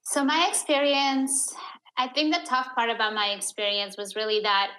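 A woman's voice: on the high side, flat delivery, normal speed, sharp tone, a little hiss or hum, poor audio. A woman from Peru talking as a podcast guest, reflective and searching, with many "like" fillers. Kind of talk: candid interview conversation.

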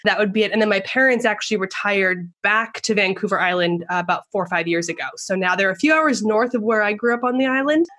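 A woman's voice: mid-range voice, steady tone, quick pace, few pauses, fairly bright tone. A middle-aged woman from Canada, a podcast guest chatting in an upbeat, easy way and laughing often. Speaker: guest, Instagram consultant. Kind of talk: casual interview chat.

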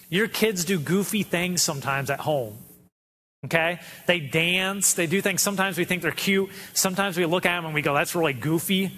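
A man's voice: on the high side, a bit bright. A man preaching a sermon as a pastor, earnest and direct, driving points home with repetition. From Detroit area. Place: church sanctuary. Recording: long hall reverb.

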